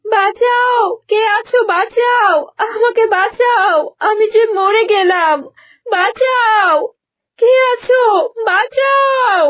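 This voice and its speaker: A woman voicing a gentle young heroine in a cartoon, tearful and pleading for her life. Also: very high voice, takes it slowly, exaggerated voice. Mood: crying for help. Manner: pleading, tearful cries for help. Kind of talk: cartoon voice acting. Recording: music bed underneath.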